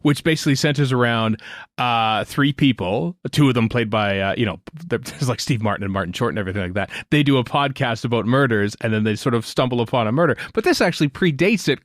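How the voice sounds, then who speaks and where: A man interviewing casually as a podcast host, in halting, rambling phrases. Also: medium pitch, animated, no echo, studio quality. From Canada.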